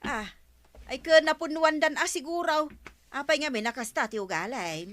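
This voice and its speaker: A woman's voice: high-pitched, expressive, moderate pace, fairly bright tone. A woman acting a feisty, talkative village councilwoman with an Ilocano accent, exclaiming and bickering in a broadcast comedy drama. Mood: chatty.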